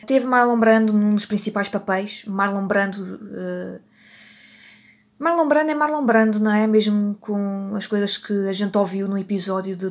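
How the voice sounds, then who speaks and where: A Portuguese woman hosting a podcast, chatting without a script, often correcting herself and laughing at her own slips. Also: medium pitch. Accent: European Portuguese. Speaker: podcast host. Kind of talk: unscripted solo podcast chat.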